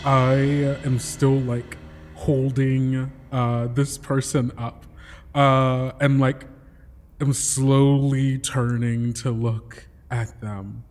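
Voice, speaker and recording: A man improvising first-person narration as a player in a tabletop game, halting and thinking aloud. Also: mid-range voice, flat delivery, a little mellow. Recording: long reverb, music behind.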